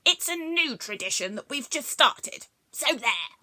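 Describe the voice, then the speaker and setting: A woman voicing a greedy, spiky little female creature in a storybook, with a high, squeaky voice and a wailing, dramatic manner. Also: sing-song, slow pace, bright, forceful tone, exaggerated voice. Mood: stubborn. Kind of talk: storybook read-aloud.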